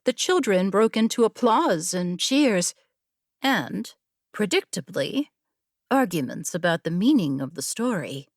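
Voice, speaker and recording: A woman narrating a scripted story in a measured, deliberate storytelling manner. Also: fairly low pitch, very animated, no echo, clean studio sound. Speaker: audiobook narrator.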